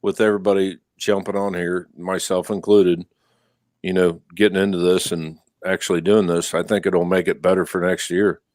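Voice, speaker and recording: A man in his forties talks casually on a podcast panel. His voice sits somewhat low, and the recording is dry, free of room sound. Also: little pitch movement, moderate pace, darkish tone, dry recording. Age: 40s. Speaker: podcast panelist, league member. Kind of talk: casual chat.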